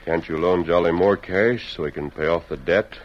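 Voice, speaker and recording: An actor playing a resolute, commanding masked hero in an old-time radio western. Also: male voice, low voice, normal speed. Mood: probing. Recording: muffled old radio recording.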